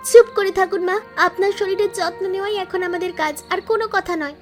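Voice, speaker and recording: A woman's voice: extremely high pitch, fast talker, faint music behind. A woman voicing a dutiful, resolute young village daughter-in-law in a cartoon, earnest and urgent. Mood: urgent, caring. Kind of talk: Bengali animated family story dialogue.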